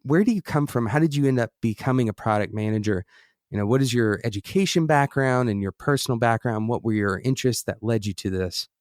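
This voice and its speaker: An American man hosting an interview podcast, speaking conversationally and haltingly, thinking aloud and rephrasing as he goes. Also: mid-range voice, no echo, clean studio sound. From United States. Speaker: podcast host interviewing a guest. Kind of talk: conversational interview, long musing questions.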